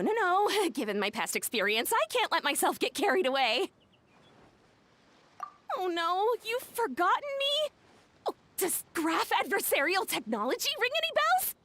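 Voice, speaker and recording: A woman's voice: very high-pitched, fairly bright tone, no room sound, full character voice. A woman playing a bubbly young inventor and showwoman in a video game, gushing theatrically. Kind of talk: video game character acting.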